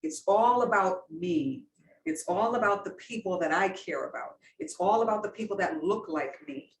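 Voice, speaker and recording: A woman's voice: medium pitch, lively intonation, moderate pace, creaky. A woman pastor on a panel, telling a story in an earnest, reflective way. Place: event room. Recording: roomy distant sound with faint noise.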